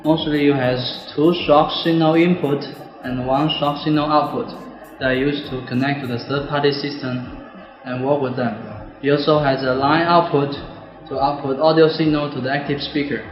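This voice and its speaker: A man's voice: on the high side, level tone, highs cut off. Young Chinese-accented man in an echoey room. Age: 20s. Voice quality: non-native English with halting grammar. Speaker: product demonstrator. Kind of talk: product demonstration. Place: classroom-like indoor room with desks. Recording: echoey room, music behind.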